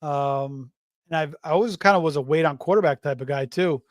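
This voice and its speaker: A middle-aged man hosting a fantasy football show in casual live-stream talk, slightly hoarse, rambling off on tangents with plenty of fillers and restarts. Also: fairly high pitch, fairly quick, no room sound, studio quality. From Florida. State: slightly hoarse, voice going raspy.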